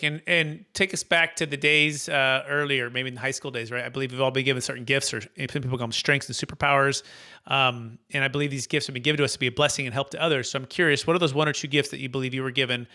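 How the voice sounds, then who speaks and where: Male podcast host, quick and upbeat, crisp bright tone, polished read. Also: mid-range voice, steady tone. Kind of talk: scripted intro then interview questions.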